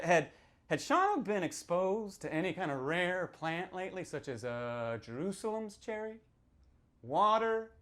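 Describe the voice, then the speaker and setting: A man performs a grim first-person horror tale as a sinister small-town local. His mid-pitched voice moves widely up and down, dropping to hushed whispers and rising to shouts. The delivery is theatrical and speaks straight to the listeners. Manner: dramatic, swinging from hushed whispers to shouted outbursts. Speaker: author performing a reading from a novel.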